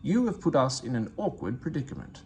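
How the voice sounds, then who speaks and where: A man's voice: medium pitch, sing-song, fairly quick, a little mellow, a little hiss or hum. A man voicing a pompous, severe older railway boss in a storybook, speaking with cross authority.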